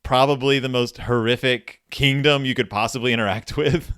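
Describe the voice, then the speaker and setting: A man hosting a casual discussion, retelling stories and reading passages aloud in an animated storyteller's manner. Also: mid-range voice, moderate pace, clean tone, no echo, studio quality. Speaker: podcast host discussing horror fiction.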